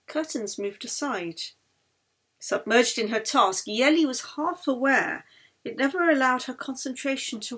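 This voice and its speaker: A woman reading a novel aloud as its author, in an expressive storytelling manner that brings the dialogue to life. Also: fairly high pitch, slightly rough. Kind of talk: scripted narration, audiobook reading.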